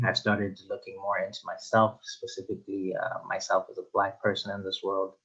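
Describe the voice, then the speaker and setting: A man's voice: sits low, dull audio. A young man from Zimbabwe, a guest author, answering interview questions thoughtfully with frequent ums and uhs. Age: young adult.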